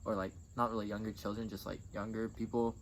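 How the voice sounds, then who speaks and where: A man's voice: fairly low pitch, level tone, fast talker. A young man telling a story casually, in a laid-back, matter-of-fact way. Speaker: interview guest. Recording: noisy, with clear background noise.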